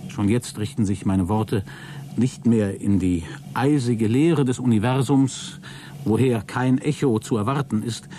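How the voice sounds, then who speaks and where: An actor playing a deluded, exhausted astronaut who keeps a logbook in a radio play, halting, self-justifying and grandiose. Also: male voice, medium pitch, mellow, slight background noise, lightly acted. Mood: solemn, grandiose. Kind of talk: dramatic radio play monologue.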